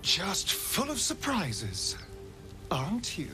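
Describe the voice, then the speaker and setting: An actor voicing a sly, theatrical dandy in a video game, teasing and drawn-out, with mocking concern. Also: male voice, high voice, huge pitch swings, music in the background, full character voice. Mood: amused, probing.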